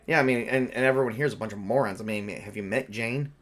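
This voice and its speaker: A man acting as game master, improvising tabletop roleplay conversationally in a halting, rambling way. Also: mid-range voice, fairly bright tone.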